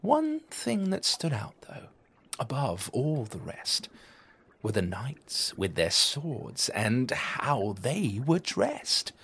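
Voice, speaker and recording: A man reading a children's story aloud in a deliberate, theatrical storytelling manner. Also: mid-range voice, vocal fry, a bit bright, slightly rough. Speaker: storybook reader. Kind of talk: expressive children's story narration.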